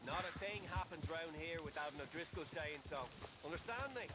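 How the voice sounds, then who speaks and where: An actor playing a menacing, smug outlaw in a video game, mock-friendly and threatening. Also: male voice, fairly high pitch, animated, brisk, very noisy, natural voice. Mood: smug menace.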